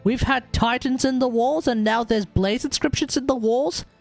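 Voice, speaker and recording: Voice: mid-range voice, normal speed. A game streamer giving casual, informal and reactive live commentary in a chatty way. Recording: game music behind the voice.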